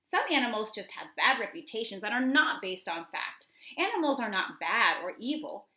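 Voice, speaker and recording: A woman teacher reading children's nonfiction aloud, addressing young listeners directly with deliberate, instructional phrasing. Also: fairly high pitch, sing-song, pushed and bright, reverberant.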